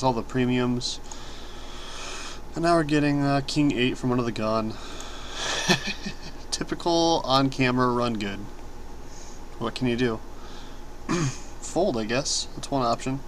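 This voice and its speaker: A young man giving relaxed, rambling commentary over his own online poker session, self-deprecating and often clearing his throat. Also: medium pitch, slight background noise. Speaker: poker streamer.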